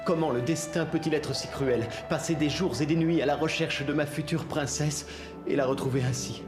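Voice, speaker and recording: A man's voice: on the high side, fairly even intonation, normal speed, over music, lightly acted. A man voicing a noble, romantic young prince with a formal, theatrical manner in a dubbed cartoon. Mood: grieving. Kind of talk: dubbed fairy-tale cartoon acting.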